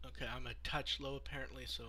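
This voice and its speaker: Very bright-voiced male podcast host. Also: medium pitch, little pitch movement, fast. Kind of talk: casual livestream podcast chat.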